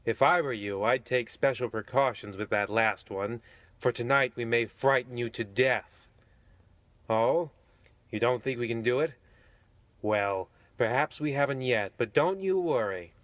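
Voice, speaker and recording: A man's voice: medium pitch, a little slow, a bit bright, no room sound, natural voice. A man playing an ominous, genteel horror host, narrating a parody of old radio with pompous, theatrical deliberation. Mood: ominous, courtly.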